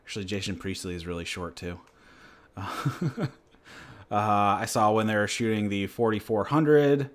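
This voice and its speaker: A man from New Hampshire hosts a relaxed chat on his channel. His voice sits a bit low, and he rambles from one story to the next at an easy, unhurried pace. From New Hampshire, USA. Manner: rambling, laid-back storytelling. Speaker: channel host. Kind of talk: casual livestream chat and Q&A.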